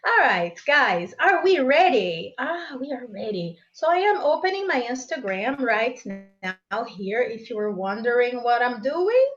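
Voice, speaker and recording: A woman's voice: fairly high pitch, very animated, a little slow, few pauses. Upbeat Brazilian woman teaching English live. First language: Portuguese. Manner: upbeat, chatty, talks to viewers directly. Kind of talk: live-streamed exam prep lesson. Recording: slightly dull live-stream audio.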